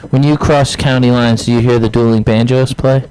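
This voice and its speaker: A man's voice: mid-range voice, fairly even intonation, normal speed, warm tone, slight background noise, low-quality recording. A man co-hosting a casual comedy radio interview, heckling bluntly and mockingly. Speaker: radio show co-host. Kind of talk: casual comic interview banter. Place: indoor room around a table.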